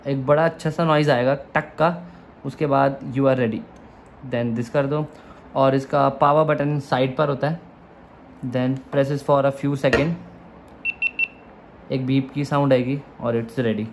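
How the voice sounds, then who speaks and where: A young man from India talks his viewers through a camera unboxing, chatty and eager. His pitch stays fairly flat even when he is excited. He is recorded at home by a camera on a tripod, with a faint hiss behind him. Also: takes it slowly, long pauses. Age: young adult. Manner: chatty, excited about gadgets, explains step by step. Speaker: vlogger. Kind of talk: casual unboxing vlog. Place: room at home, indoors.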